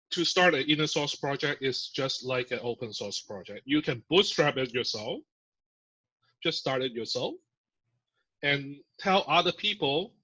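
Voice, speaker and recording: Male guest, bright and forceful, chatty with fillers; dull lo-fi sound. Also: fairly high pitch, breathy edge. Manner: chatty, filler-heavy explaining with laughs. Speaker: podcast guest, software engineer. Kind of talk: casual interview. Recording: muffled lo-fi audio.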